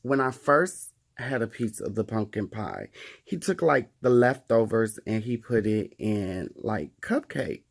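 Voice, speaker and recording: A man's voice: on the low side, expressive, a little slow, creaky. A man in his thirties chatting casually to the camera in a wry, profane, chatty way. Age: 30s. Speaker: vlogger.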